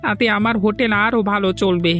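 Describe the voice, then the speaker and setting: A man with a West Bengal accent voicing a reformed thief turned eatery owner in a cartoon, with a smooth-talking, self-justifying manner. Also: high voice, fast, fairly bright tone, faint music behind. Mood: humble, grateful. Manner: obsequious to betters, self-justifying. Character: ingratiating reformed male thief running cheap fish eatery. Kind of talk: cartoon voice acting.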